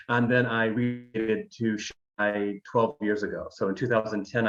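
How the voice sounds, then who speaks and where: A man in his thirties from Alabama, a design firm founder talking through his career in a loose, rambling way with many ums. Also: medium pitch. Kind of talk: conversational interview. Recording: dull, lo-fi sound.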